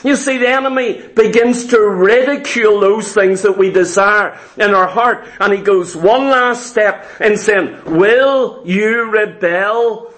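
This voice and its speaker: A man's voice: high voice, measured pace, hardly any pauses, a bit bright. A man preaching a sermon to a church congregation, speaking straight at his listeners with emphatic, repeated phrases. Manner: emphatic, repetitive, direct address to listeners. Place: live before a congregation in a room.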